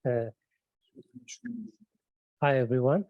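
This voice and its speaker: A middle-aged man with an Ethiopian accent, a professor on a panel, telling his story in a relaxed way, often adding "you know". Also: mid-range voice, drawn-out words, no room sound, narrow, tinny audio. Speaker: wind engineering professor, panelist. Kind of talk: panel discussion answer.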